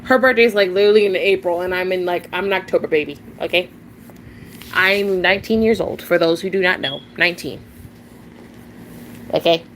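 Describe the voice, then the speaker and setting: A woman's voice: lowish voice, fairly quick, long pauses, slight background noise, low-quality recording. A nineteen-year-old woman streaming live, rambling casually, chatting with viewers and often giggling and restarting her sentences.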